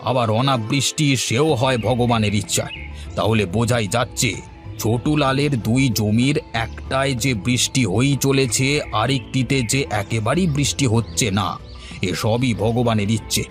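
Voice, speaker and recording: A man's voice: mid-range voice, moderate pace, faint music behind, lightly acted. A man voicing a boastful, money-minded village charm-seller in a cartoon, emphatic and argumentative. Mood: pious, scolding. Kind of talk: cartoon dialogue.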